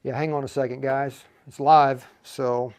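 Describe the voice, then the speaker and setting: A man's voice: mid-range voice, flat delivery, unhurried, darkish tone, clear, resonant tone. A man presenting a live tutorial as an instructor, explaining casually in a folksy way and talking straight to his viewers. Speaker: livestream host and instructor.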